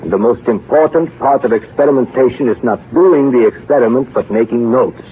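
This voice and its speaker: An actor playing an exacting older male science professor in 1930s radio drama, speaking in deliberate, didactic pronouncements on an old noisy recording. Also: medium pitch, husky, phone-quality sound, natural voice. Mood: approving, instructive. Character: exacting older male bacteriology professor, blunt, devoted to research.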